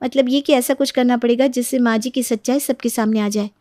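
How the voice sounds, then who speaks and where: Woman as young sister-in-law, story drama, raised pitch, kind, respectful, indignant, dry sound. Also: steady tone, a little fast, mellow, no echo, lightly acted. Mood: determined. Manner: respectful, concerned. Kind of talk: Hindi moral story voice acting.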